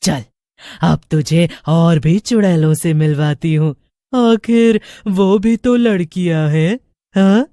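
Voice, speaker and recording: A woman voicing an angry, boastful witch in a horror story, taunting with drawn-out menace. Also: lowish voice, mellow, no echo, studio quality. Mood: mocking, gloating.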